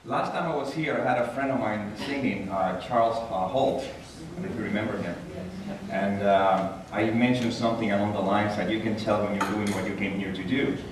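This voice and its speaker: A man with a Puerto Rican accent giving an earnest, animated inspirational talk as a guest speaker at a church. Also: normal speed, background noise. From Puerto Rico. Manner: earnest, animated, rises in pitch when stressing a point. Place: large church hall. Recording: echoey hall, taken from far off.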